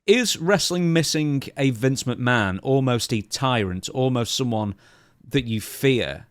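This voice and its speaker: A man's voice: medium pitch, expressive, a little slow, smooth, no room sound. Male podcast host, mid-range voice, casual interviewing, very dry recording.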